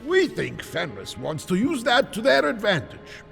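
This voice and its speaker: An earnest, imperious monarch in a game drama. His voice is fairly high and swings expressively, ringing in a long reverb. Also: measured pace, vocal fry, full character voice. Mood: grave, earnest. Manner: formal, imperious, animated. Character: embattled, commanding male monarch, earnest and proud. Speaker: voice actor. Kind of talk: dramatic game cutscene acting. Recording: long hall reverb with music.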